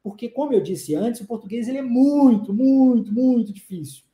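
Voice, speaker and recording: A man's voice: very high voice, moderate pace, warm tone, echoey room. A man in his forties, born in Brazil and raised in the United States with English as his first language, teaching a live online lesson to his viewers. He talks emphatically and persuasively and keeps firing rhetorical questions at them.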